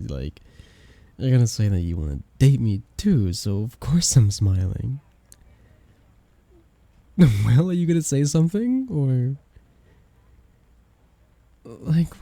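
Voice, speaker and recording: Man acting as a dark, husky, lovestruck best friend. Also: medium pitch, big pitch swings, dry recording, full character voice. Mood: giddy, flustered. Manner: hesitant, halting, tender. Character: shy young man confessing love to his best friend. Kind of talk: intimate ASMR romance roleplay.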